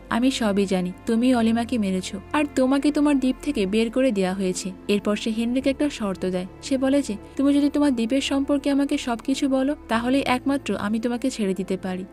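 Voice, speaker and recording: A woman with a fairly high voice delivers scripted recap narration, moving through a drama's story and relaying dialogue as she goes. Music plays underneath her voice. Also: darkish tone. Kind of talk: scripted story recap narration, reading characters' lines in reported speech.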